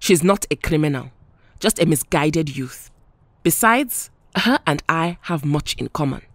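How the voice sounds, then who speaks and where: A woman's voice: deep voice, animated, unhurried, a bit bright, no room sound, exaggerated voice. A woman playing a proud, weary, dignified superheroine with a solemn, formal manner in an audio drama. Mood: calm, defensive.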